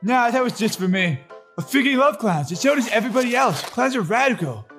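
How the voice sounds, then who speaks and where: A very high, whiny cartoon crewmate, self-absorbed and theatrical. He rambles like a storyteller, with faint music behind him. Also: very animated, a little fast, a bit bright, heavily acted. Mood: smug, rambling. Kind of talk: cartoon voice acting.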